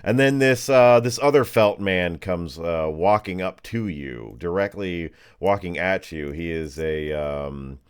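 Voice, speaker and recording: A man's voice: deep voice, unhurried, hardly any pauses, clear, resonant tone. A man narrating a tabletop game as game master in a loose, conversational, improvised way, sounding easily amused.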